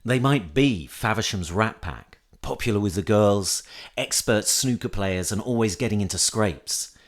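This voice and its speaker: A man reading a scripted literary essay aloud in a measured, reflective manner. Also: lowish voice. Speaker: reader of an essay.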